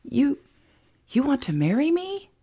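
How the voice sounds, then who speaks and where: A woman's voice: mid-range voice, lively intonation, normal speed, gravelly, voice pushed up. A woman voicing a tender, emotional, playful woman in love in an audiobook romance, with heartfelt sincerity. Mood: astonished.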